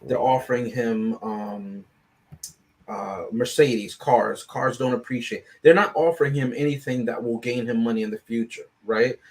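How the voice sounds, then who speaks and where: A man's voice: medium pitch, moderate pace. A man speaking as a panelist on a film review show, in casual, analytical discussion, often checking in with "right?".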